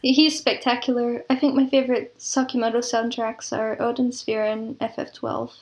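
Higher-voiced woman in casual interview chat. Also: little pitch movement, moderate pace. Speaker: interview guest, composer and audio drama producer.

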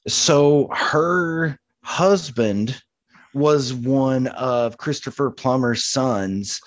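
A man with a medium-pitched voice talks in an agreeable, conversational way. He sounds like a podcast co-host in a casual review chat, recorded dry without room echo. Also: extremely slow.

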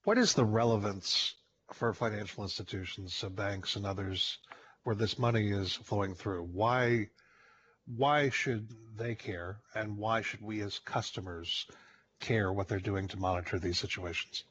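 A man hosting a podcast, posing questions in a measured, reflective way. His voice is fairly low. The recording sounds muffled, with a faint hiss behind it. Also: a bit bright. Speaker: podcast host. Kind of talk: interview questions.

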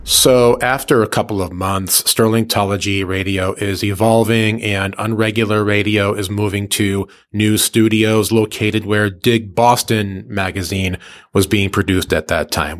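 Low-voiced man telling stories conversationally. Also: fairly bright tone. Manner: easygoing slangy address to listeners. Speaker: radio personality recounting his career. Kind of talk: conversational storytelling.

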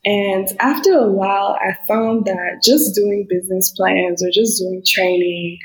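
A woman's voice: medium pitch, fairly even intonation, measured pace, low-quality recording. A middle-aged woman from Liberia, raised in the United States, speaking as a business coach guest in an earnest, reflective way. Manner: reflective, earnest, with frequent "you know". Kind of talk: casual interview talk.

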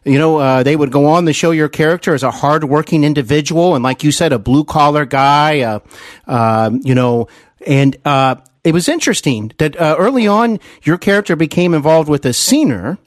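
A man's voice: on the high side, normal speed, no echo. Enthusiastic male podcast host, close dry sound. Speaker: podcast host interviewing an actor. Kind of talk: conversational interview with long, detailed questions.

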